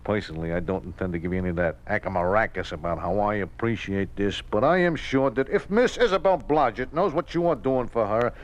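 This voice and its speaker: Male actor as loyal underdog racetrack bookmaker, slangy and oddly formal, mid pitch, vintage radio play. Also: lively intonation, brisk, hardly any pauses, natural voice. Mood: determined, wry. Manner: colorful Runyonesque slang, formal present tense. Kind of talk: 1950s radio drama acting. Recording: vintage 1950s radio recording.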